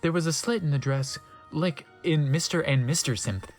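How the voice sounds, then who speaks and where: A mid-pitched narrator reads a story theatrically, as a comic dramatic reading. The delivery drops into deadpan asides, with faint music behind. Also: moderate pace.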